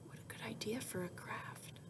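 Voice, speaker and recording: A woman reading a picture book aloud to young children like a teacher, expressively, with questions and asides. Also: whispered. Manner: expressive storytelling, pausing to ask questions and answer softly.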